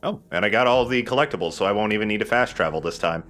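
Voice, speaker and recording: A man's voice: fairly low pitch, fast talker, a bit bright, faint music behind. A man giving casual, conversational gaming commentary as a let's play host, swearing now and then. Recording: faint background noise.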